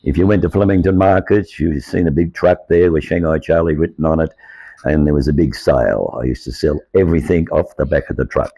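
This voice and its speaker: An older Australian man with a croaky, aged voice, telling his life story to camera in an earnest, rambling way. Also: rumbling low, a little fast, warm tone, no room sound. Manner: earnest, rambling, grows insistent. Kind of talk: personal monologue to camera.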